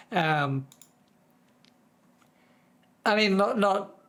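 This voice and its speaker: A streamer with a voice that is going hoarse, chatting casually to viewers in a distracted, rambling way. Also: medium pitch, a bit bright. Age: adult, working full-time. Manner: easily distracted, rambling with many restarts. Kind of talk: casual live-stream chat.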